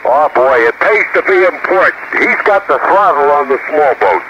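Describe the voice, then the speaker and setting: A man working as an amateur radio operator, talking in halting, folksy procedural style over a 1970s shortwave transmission. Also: on the high side, animated, dull audio, background noise. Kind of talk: radio contact, procedural chat with phonetic call signs. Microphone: ham radio microphone.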